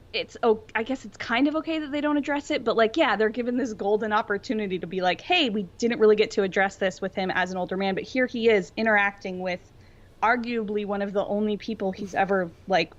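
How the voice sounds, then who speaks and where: A woman's voice: mid-range voice, muffled recording. A young adult woman rambling casually through her opinions as a podcast co-host in a fandom discussion.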